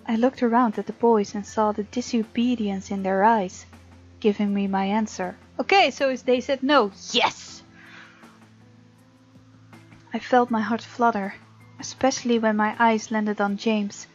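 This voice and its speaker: A woman gamer reading visual novel narration aloud in an expressive, informal way, with casual commentary of her own. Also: on the high side, normal speed. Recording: muffled mic, game music behind. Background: game music.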